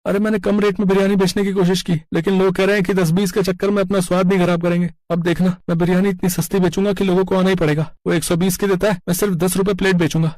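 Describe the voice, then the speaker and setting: A man's voice: high-pitched, level tone, fast talker, few pauses, darkish tone, no room sound, lightly acted. A man voicing an earnest, proud, hard-working poor village father in a scripted story. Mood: frustrated. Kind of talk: scripted cartoon story dialogue.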